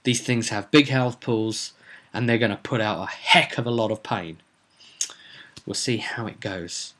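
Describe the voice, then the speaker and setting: A man's voice: fairly low pitch, measured pace, fairly bright tone. A man hosting a casual solo vlog as a game master, explaining his plans for a tabletop game in an emphatic way.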